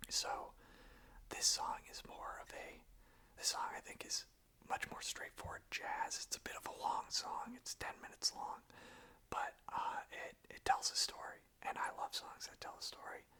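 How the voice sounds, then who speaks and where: An ASMR host speaking in a breathy, unvoiced whisper, gentle and enthusiastic in manner. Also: moderate pace. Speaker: ASMR host chatting about and reading song lyrics.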